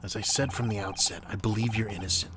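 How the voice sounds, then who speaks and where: A man's voice: on the low side, fairly even intonation, fast talker, background noise, lightly acted. A man voicing a firm, loyal young defense lawyer in a courtroom game dub, impassioned and formal. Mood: hurt, insistent.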